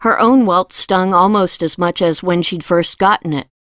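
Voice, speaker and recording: A woman's voice: lowish voice, moderate pace, a bit bright, no room sound. Female audiobook narrator, dry close sound. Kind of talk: scripted narration of a fantasy novel.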